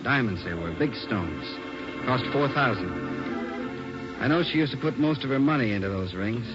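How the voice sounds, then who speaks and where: A man's voice: medium pitch, lively intonation, normal speed, close to an everyday voice. An actor playing a subdued, hesitant American man answering police questions as a witness in a 1940s radio drama. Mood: wistful. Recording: muffled old radio recording, organ music.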